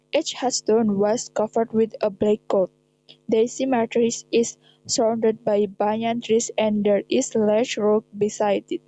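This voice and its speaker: A woman's voice: a little high, dark tone, lo-fi sound. A young woman with an Indonesian accent reading scripted horror drama narration in non-native English. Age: young adult.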